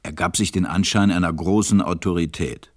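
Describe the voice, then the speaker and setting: A man narrating a scripted children's story in a German radio play, with measured storytelling. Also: low-pitched, steady tone, no room sound, clean studio sound.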